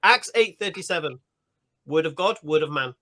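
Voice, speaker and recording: A man's voice: on the high side, animated, moderate pace, pushed and bright. A man debating a caller, pressing him with insistent questions and cutting in on his answers. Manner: insistent, interrupting, cross-examining.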